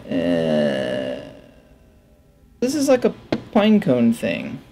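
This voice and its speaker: Slow, creaky man gaming. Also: low-pitched, huge pitch swings, dark tone, a little hiss or hum. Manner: offhand, musing aloud to himself. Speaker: gaming let's player. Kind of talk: casual gameplay commentary. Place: indoors at a desk. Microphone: headset.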